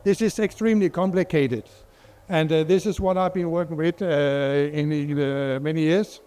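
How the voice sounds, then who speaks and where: A middle-aged man from Denmark presents at a conference in English, his second language. His voice sits a little high for a man and comes across clean and resonant. He talks hesitantly, stopping often for fillers and restarting his sentences. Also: steady tone, moderate pace, warm tone, clear, resonant tone. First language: Danish. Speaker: guest speaker, water expert.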